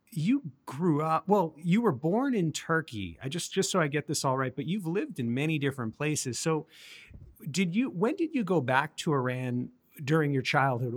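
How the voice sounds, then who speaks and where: A man hosting an interview, asking his questions with hesitant starts and restarts. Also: a little high. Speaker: podcast host. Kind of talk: interview conversation.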